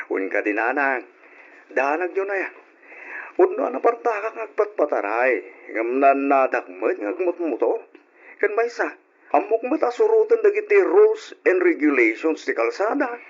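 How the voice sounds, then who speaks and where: Laid-back young ilocano fiance, reassuring, husky highish voice, radio drama. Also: male voice, sing-song, normal speed, full character voice. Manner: affectionate, reassuring. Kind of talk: old-style Ilocano radio drama acting. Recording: muffled radio drama recording.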